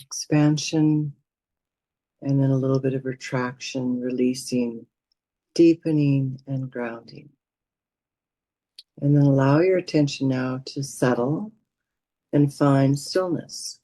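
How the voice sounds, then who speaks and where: A woman leading a guided meditation as a teacher, speaking in a gentle, soothing manner with unhurried pauses. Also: rumbling low, steady tone, dry recording, low-quality recording.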